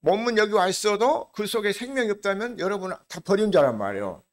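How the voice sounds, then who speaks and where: Older male pastor, regional dialect, raised pitch, chatty sermon full of questions to the pews. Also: expressive. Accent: regional Korean dialect. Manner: conversational preaching, asks the congregation questions; slows down to lead scripture reading together.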